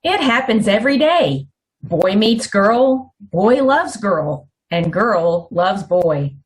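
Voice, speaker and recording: A woman reading a scripted old-time radio commercial with deliberate, emphatic phrasing. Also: mid-range voice, big pitch swings. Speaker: commercial announcer.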